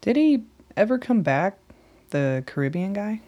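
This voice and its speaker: Female actor as young woman on the road, weary, guarded, understated, mid-pitched, radio play. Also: very animated, unhurried, dark tone, dry recording.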